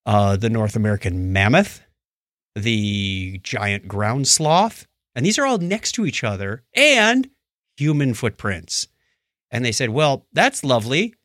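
A man's voice: mid-range voice, lively intonation, slow, fairly bright tone, dry recording, clean studio sound. A man co-hosting a podcast in a casual, storytelling way, acting out bits of imagined dialogue as he recounts things. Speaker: podcast co-host. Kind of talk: casual chat, recounting documentaries.

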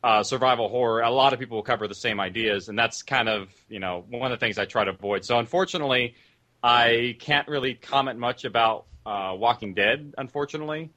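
Casual male panelist, bright voice. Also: mid-range voice, fairly quick. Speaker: writer-producer panelist.

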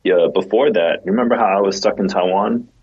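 A man co-hosting a podcast in casual chat, with a husky voice on dull, lo-fi audio. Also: deep voice, fairly quick. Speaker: podcast co-host.

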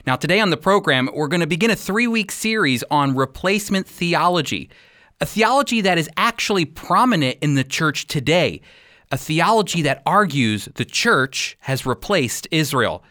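A man's voice: fairly high pitch, expressive, normal speed, bright, forceful tone, no echo, studio quality. A man hosting a radio teaching program, presenting and interviewing.

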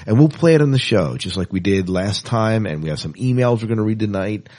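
Low, husky man with lively swings. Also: a little fast. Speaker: podcast co-host. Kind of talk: casual podcast chat.

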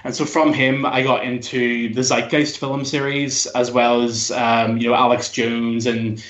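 A man's voice: mid-range voice, level tone, a little slow, a bit bright. A man in his thirties with a Belfast, Northern Irish accent, chatting casually as an interview guest in a discursive way. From Northern Ireland. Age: 30s. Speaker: podcast guest. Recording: dull lo-fi sound, echoey room.

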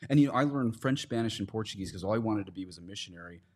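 A man with a low-pitched voice talks as a guest priest on a religious podcast. He is earnest and conversational, setting out his points in plain words and everyday analogies. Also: animated, racing, darkish tone.

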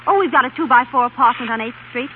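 An actress playing a devoted, eager young wife in a polite 1930s radio drama style. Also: female voice, high voice, quick pace, close to an everyday voice. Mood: sociable, cheerful. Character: bright, devoted young wife pleading her husband's case. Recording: muffled, noisy 1930s radio recording with hum.

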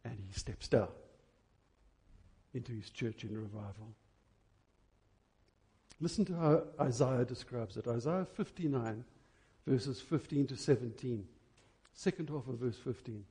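A man preaching an impassioned church sermon, emphatic, with rhetorical questions and deliberate stresses on key words. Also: mid-range voice, expressive, warm tone.

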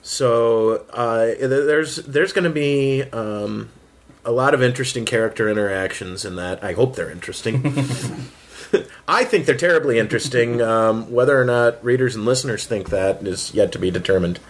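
A man chatting casually on a panel as a writer, explaining his work in hesitant, halting stretches. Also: mid-range voice. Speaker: fiction writer on a round-table panel. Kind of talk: casual round-table chat.

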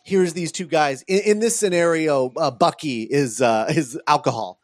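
A man co-hosting a film podcast in casual chat, thinking aloud in a halting way. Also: on the high side, no room sound, clean studio sound. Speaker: podcast co-host.

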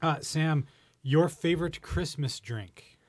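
A man's voice: a little high, slow pace, dry recording. Jokey male podcast host, close dry sound. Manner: jokey, teasing. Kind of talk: casual question-and-answer banter.

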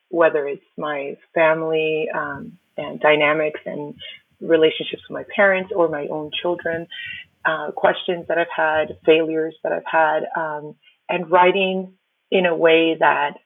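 A woman's voice: low voice, level tone, breathy edge, no room sound. Woman on a tinny line. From Miami, USA. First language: Spanish. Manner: reflective, laughs often, halting with fillers. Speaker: podcast guest. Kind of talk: conversational interview.

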